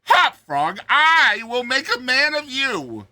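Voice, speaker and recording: Man putting on a loud, gleeful, pompous king. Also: very high-pitched, big pitch swings, takes it slowly, dry recording, pitched above the performer's own voice, exaggerated voice. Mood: delighted, booming. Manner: loud, exclamatory, roaring with laughter. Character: boisterous, pompous, gleeful king, easily delighted. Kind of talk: dramatized classic short story reading.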